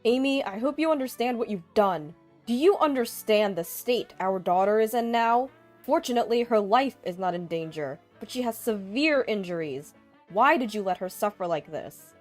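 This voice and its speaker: A woman's voice: medium pitch, animated, moderate pace, darkish tone, soft background music, natural voice. A woman voicing a stern, reproachful older father-in-law. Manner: firm, reproachful.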